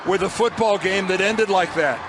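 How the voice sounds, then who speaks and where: A man commentating on college football on the radio, halting and stunned, searching for words. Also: sits high. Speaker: color commentator. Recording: broadcast with background noise.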